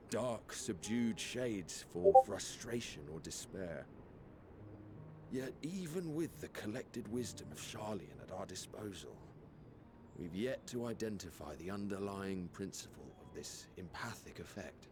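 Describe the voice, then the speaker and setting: A man voicing a calm scholarly character in a game cutscene, explaining things in a measured way. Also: on the low side, noisy background.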